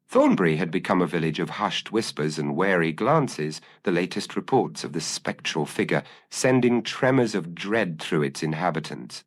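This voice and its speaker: A man narrating a gothic mystery story in a measured, solemn, dramatic style. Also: sits low. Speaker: audiobook narrator.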